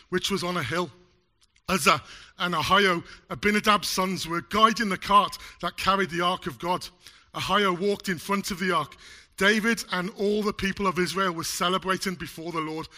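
A man's voice: high voice, pushed and bright. A man preaching a sermon in a church, chatty and jokey, still getting over a chest cold. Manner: chatty, jokey, loose with fillers. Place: church hall.

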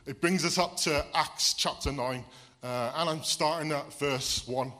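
A man delivers a sermon in a Midlands English accent touched by a Welsh lilt. His voice sits fairly high and sounds bright and clear. He talks to the congregation in a conversational way, chuckling and often saying "you see". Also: unhurried. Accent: English Midlands with a slight Welsh lilt. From England, raised in Wales. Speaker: preacher.

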